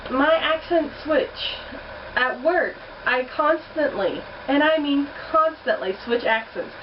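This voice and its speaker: A woman's voice: sits high, takes it slowly. A young woman chatting bluntly in a casual vlog, swearing now and then. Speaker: vlogger. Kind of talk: casual accent challenge vlog. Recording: distant muffled home recording with heater noise.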